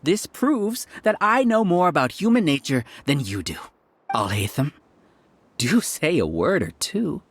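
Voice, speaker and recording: An actor playing an arrogant scholarly villain who rules a hive mind in a video game, speaking with grandiose, contemptuous, measured menace. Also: male voice, fairly high pitch, big pitch swings, breathy edge, heavily acted. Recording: background music under dialogue.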